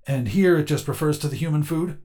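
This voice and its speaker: A man's voice: on the high side, fairly quick, warm tone, voice pushed up, close to an everyday voice. A man voicing a good-natured male shrimp-like alien speaking through a translator, cheerfully formal and matter-of-fact, in an audio story. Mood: upbeat, accepting.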